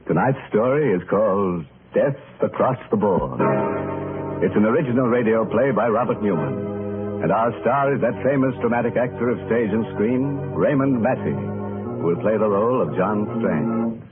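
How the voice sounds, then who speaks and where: A man with a very low voice narrates a vintage radio mystery. He is theatrical and ominous, with prominent organ music behind him on a thin, narrow-band recording. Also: expressive, moderate pace. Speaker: host and narrator.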